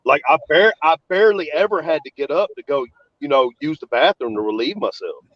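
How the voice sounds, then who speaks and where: A man's voice: on the high side, a little fast, muffled recording. A man hosting a casual chat, congested and sniffly as he recovers from illness, rambling through his stories. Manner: rambling, relaxed storytelling. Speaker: host.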